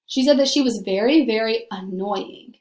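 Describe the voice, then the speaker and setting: A woman's voice: on the high side, normal speed, a little mellow, echoey room. A woman teacher leading a read-aloud lesson for children, conversational, repeating points for emphasis and putting questions to pupils.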